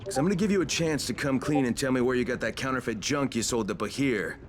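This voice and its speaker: A man's voice: mid-range voice, quick pace, a little hiss or hum, lightly acted. A man playing a tough young survivor in a video game, speaking in a firm, terse and insistent way. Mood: stern, threatening. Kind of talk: video game cutscene dialogue.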